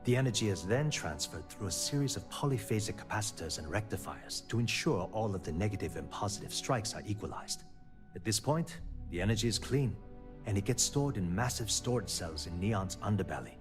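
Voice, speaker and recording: Low-pitched male actor as a frustrated, principled company manager for sci-fi game dialogue, earnest and articulate, music underneath. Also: animated, fairly quick, close to an everyday voice. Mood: explaining. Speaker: video game character.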